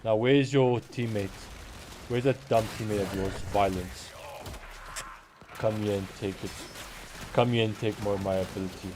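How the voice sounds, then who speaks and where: A man's voice: fairly low pitch, fairly even intonation, normal speed, pauses often, background noise. Twenties male streamer, mixed South African-Turkish accent, rambling chatty delivery. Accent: mix of South African and Turkish. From born in Turkey, raised in South Africa. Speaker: game streamer. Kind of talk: casual live-stream gaming chat.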